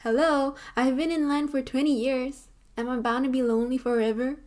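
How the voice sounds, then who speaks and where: A woman's voice: high-pitched, brisk. Chatty Malaysian woman in her twenties. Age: 20s. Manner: chatty and animated, lots of "like" and "you know". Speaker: vlogger. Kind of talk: casual talking-head vlog. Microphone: camera mic, seated on a chair.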